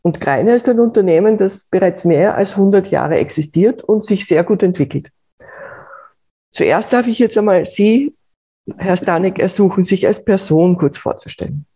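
Sanfte, gedämpfte Interviewerin mit österreichischem Akzent. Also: female voice, medium pitch, mellow, breathy edge. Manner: measured, formal questions. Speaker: podcast host.

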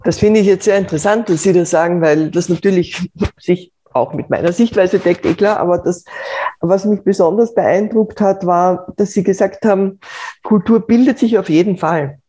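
A woman hosting a business podcast, asking formal, measured questions. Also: lowish voice, warm tone, slight background noise. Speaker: podcast host. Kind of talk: interview.

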